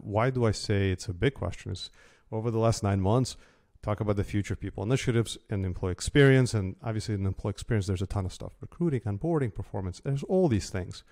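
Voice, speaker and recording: Mellow-voiced podcast host man. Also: lowish voice. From Belarus. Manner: lively, emphatic. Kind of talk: podcast interview.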